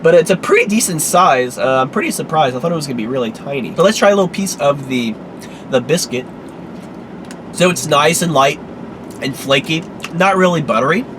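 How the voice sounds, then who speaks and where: A man hosting a casual food review vlog, talking in an easygoing, rambling way with many fillers, sounding a little congested and hoarse. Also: on the high side, lively intonation, a little fast, noisy background.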